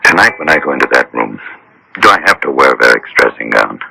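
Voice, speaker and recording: An actor playing a grumbling, wry newspaper photographer with a deep gravelly voice in a radio drama. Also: male voice, very animated, a little fast, bright, forceful tone, no room sound, exaggerated voice, poor audio. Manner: wry, complaining, casual.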